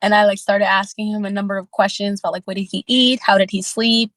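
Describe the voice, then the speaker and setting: A woman's voice: medium pitch, little pitch movement, fast talker, a bit bright, no room sound. A woman in a casual, reflective group discussion, speaking tentatively as she thinks aloud. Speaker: book club discussion participant and facilitator.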